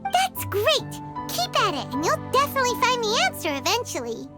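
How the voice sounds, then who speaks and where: A woman's voice: very high voice, wildly swooping pitch, moderate pace, over loud music, heavily acted. A woman voicing a tiny, bubbly floating fairy companion in a video game, speaking of herself in the third person. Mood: cheerful, caring.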